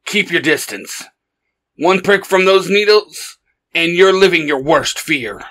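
A man acting a heroic crimefighter in an audio drama. He sounds firm and protective, giving orders in a steady, commanding way. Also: mid-range voice, a little slow, gravelly, breathy edge, heavily acted, low-quality recording. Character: firm, protective male superhero Nightwing.

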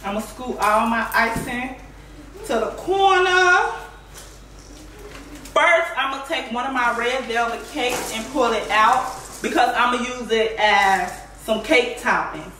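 A playful woman in her thirties chats through a home cooking vlog, her fairly high voice drawn out at an easy, unhurried pace. She talks straight to her viewers, breaking into sing-song exclamations. Her voice sounds roomy and a little distant, picked up by a camera across the kitchen. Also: sharp tone. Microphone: far camera on tripod.

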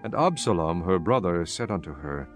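A man with a lowish voice narrates scripture. His delivery is formal and solemn, like a storyteller, with music underneath. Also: animated, normal speed. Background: background music.

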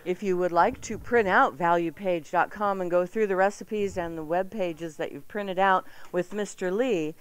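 A woman's voice: fairly low pitch, normal speed, few pauses, clear, resonant tone. A woman hosting a live radio show in a playful, chatty manner. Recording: music bed behind 1990s radio broadcast. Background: music.

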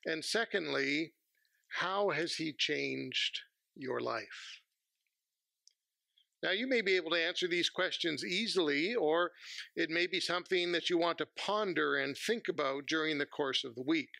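A man, preaching a measured, earnest sermon from a church pulpit on a headset mic. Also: a little high, vocal fry, pushed and bright, no echo. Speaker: pastor.

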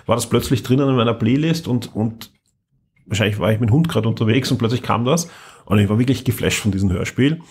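A man with a slightly husky voice, chatting on a podcast about audio dramas. He speaks in a relaxed, chatty way and stumbles now and then. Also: medium pitch. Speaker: podcast co-host.

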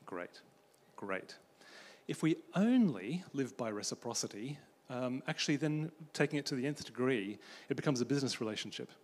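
A man from Australia giving a conference talk about faith and friendship, telling stories in an animated way as he moves around a large stage with a handheld mic. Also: mid-range voice, moderate pace. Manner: animated storytelling, pacing the stage. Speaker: conference speaker. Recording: long hall reverb.

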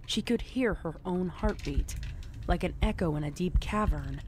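A man's voice: high-pitched, moderate pace. Guy narrating a scary story, dramatic reading, noisy ambient background. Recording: clear background noise and ambience.

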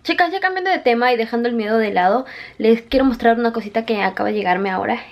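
High-voiced young woman vlogging. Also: quick pace. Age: young adult. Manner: chatty, often addressing viewers directly. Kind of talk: casual confiding vlog chat. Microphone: camera at arm's length. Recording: clear background noise, handheld camera.

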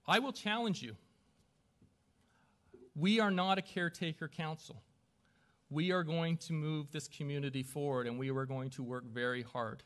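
A man speaking as mayor at a council meeting, running through formal procedure in a halting, self-deprecating way with informal asides. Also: fairly high pitch, a bit bright. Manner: halting, self-deprecating, stumbling over names. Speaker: newly elected mayor chairing a council meeting. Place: council chamber with a small audience.

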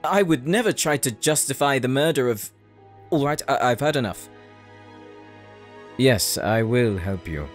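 A man playing a proud, shrewd imprisoned older brother in a fantasy audio drama, speaking in a formal, measured, condescending way. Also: medium pitch, animated, soft background music, close to an everyday voice. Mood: grave, reluctant. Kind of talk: scripted fantasy audio roleplay.

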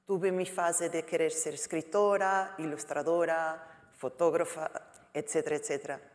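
A woman's voice: low voice, little pitch movement. Portuguese-accented woman in a reverberant hall. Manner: halting, searching for words in a second language. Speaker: writer on a panel. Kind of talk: panel talk. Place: lecture hall.

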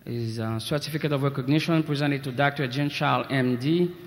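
A man's voice: mid-range voice, fairly quick. Man announcing awards in a big hall. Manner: reads citations formally, with asides and self-deprecating jokes. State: losing his voice. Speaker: awards presenter. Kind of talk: ceremony announcing and reading citations. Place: large convention hall. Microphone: podium microphone. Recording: echoey convention hall, 1990s video.

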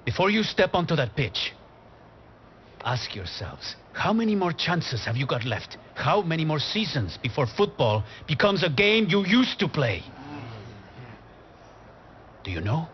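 A man playing a middle-aged football coach in a video game, intense and burdened by regret, speaking in a dramatic, measured way with emphatic pauses. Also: a little high, big pitch swings, muffled recording, a little hiss or hum. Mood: grave, urging. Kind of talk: cinematic game acting, motivational speech.

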